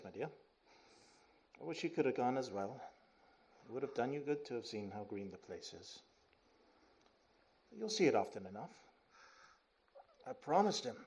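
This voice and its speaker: An actor playing a humble, kindly father in grief, earnest and tender, in a radio play. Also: mid-range voice. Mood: gentle, then breaking down. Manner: earnest, gently emotional. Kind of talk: 1930s-style radio play acting.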